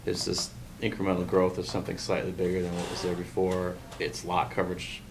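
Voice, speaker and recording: Low-voiced man explaining at a meeting. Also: monotone, fairly quick, creaky. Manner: explanatory, reasoning through examples. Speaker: public meeting participant. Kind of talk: meeting discussion. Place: indoor meeting room. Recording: noisy meeting room recording.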